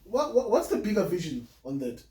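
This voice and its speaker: A man's voice: high-pitched, big pitch swings, fairly quick, a little mellow. A man hosting a podcast interview, encouraging his guest and chiming in often. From South Africa. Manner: encouraging, with frequent back-channel "mm". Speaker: podcast host. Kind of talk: casual interview conversation. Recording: echoey room, from a distance.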